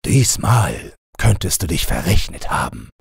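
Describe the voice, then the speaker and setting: Man playing a very deep, gruff old king. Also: very animated, a little slow, no echo, clean studio sound, full character voice. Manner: gruff, commanding. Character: stern, grumpy old fairy-tale king and father. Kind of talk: fairy-tale audiobook character voice.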